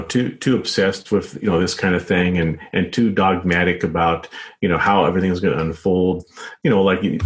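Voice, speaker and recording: A middle-aged or older man answering as an interview guest, speaking conversationally and haltingly, often repeating words and saying "you know". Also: low voice. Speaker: interview guest, journalist and author.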